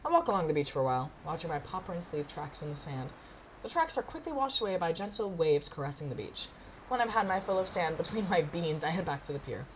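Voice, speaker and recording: A woman's voice: low-pitched, animated, fast, a little hiss or hum. A woman streaming a visual novel, reading narration expressively and breaking off into playful, gushing, casual reactions.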